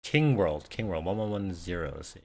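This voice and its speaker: A man's voice: low voice, animated, normal speed, warm tone. A man whose first language is Cantonese, hosting a casual livestream Q&A and talking in a rambling way with lots of fillers and restarts. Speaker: investing livestream host. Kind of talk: casual live Q&A chat.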